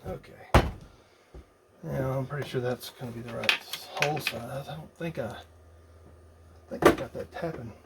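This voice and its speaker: A man's voice: mid-range voice, moderate pace, vocal fry, long pauses. A man casually narrating a hands-on build, muttering and thinking aloud as he works. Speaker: hobbyist radio builder. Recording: noisy workshop recording with clicks.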